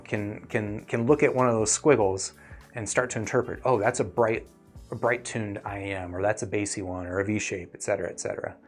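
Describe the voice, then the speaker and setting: A man hosting a live stream, talking casually and explaining his thinking to viewers in a conversational way. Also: on the low side. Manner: conversational, explanatory, often checking in with "right?". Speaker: host of an earphone review live stream.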